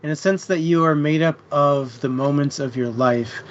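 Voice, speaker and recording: A man's voice: a little high, moderate pace. A man co-hosting a livestream book discussion, talking in a casual, conversational way. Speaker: co-host of a book club livestream. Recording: dull stream audio, faint background noise.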